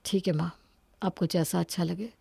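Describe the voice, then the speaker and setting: A woman's voice: lowish voice, steady tone, normal speed, creaky, warm tone, slightly rough, no echo, voice pushed down, heavily acted. Woman voicing a wounded, earnest young husband, dry sound. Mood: guarded, sombre.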